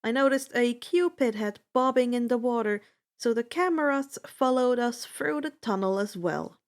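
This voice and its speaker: A woman reading fan fiction aloud as an expressive first-person narrator, with a deliberate, dramatic delivery. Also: fairly high pitch.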